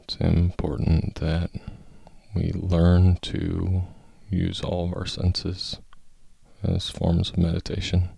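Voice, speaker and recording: A man leading a guided meditation, speaking in a calm, measured, gently instructive manner with long pauses. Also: low-pitched, gravelly, slightly rough, dry recording.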